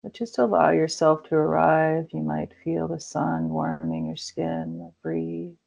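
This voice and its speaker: A woman leading a guided meditation in a slow, soothing way with gentle pauses. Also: sits low, expressive, creaky. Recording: dull lo-fi recording.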